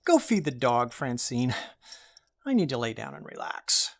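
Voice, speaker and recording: Man playing a weary, grumpy husband, very changeable pitch. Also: huge pitch swings, measured pace, gravelly, fairly bright tone, exaggerated voice. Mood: weary, irritable. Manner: weary, sighing, hesitant. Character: weary, grumpy married insurance salesman. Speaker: actor in an audio drama. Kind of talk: radio play acting.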